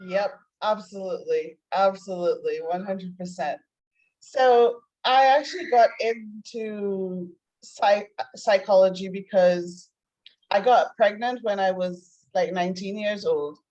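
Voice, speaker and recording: A woman's voice: medium pitch, a little slow, a little mellow. A woman in her forties with a Trinidadian accent, a life coach telling her story casually over a video call, chatty and laughing often. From Trinidad and Tobago. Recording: dull lo-fi call audio.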